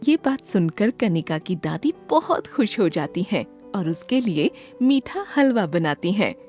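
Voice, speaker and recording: A woman's voice: medium pitch, sing-song, a little slow, darkish tone, soft background music. A woman narrating a scripted children's story as the storyteller.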